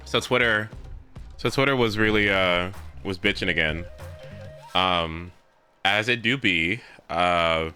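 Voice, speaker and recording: A man's voice: deep voice, slow pace, sharp tone. A young man chatting casually and rambling on a video game livestream. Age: young adult. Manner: rambling, informal. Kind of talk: casual live stream chat. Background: game music.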